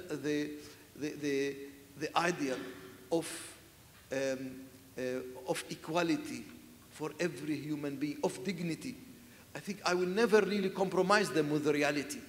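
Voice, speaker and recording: A man answering on a festival panel, earnest and searching for words, often saying "you know". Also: fairly high pitch. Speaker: panel speaker, church leader. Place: large festival hall with audience. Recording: echoey hall sound.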